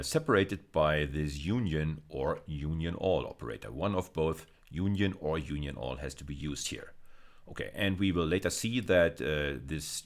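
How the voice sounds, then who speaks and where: A male lecturer with a notably low voice. His intonation moves widely and animatedly as he explains things step by step. He often repeats a phrase to stress it, in the manner of a recorded university lecture.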